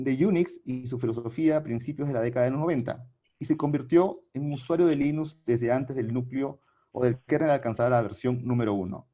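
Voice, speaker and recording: A man with a mellow, dark-toned voice hosting an online webinar. He reads a formal introduction aloud, stumbling now and then. The audio is dull and lo-fi, like a call recording. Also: mid-range voice. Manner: formal, read-aloud delivery with occasional stumbles. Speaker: webinar host. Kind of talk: reading a scripted introduction.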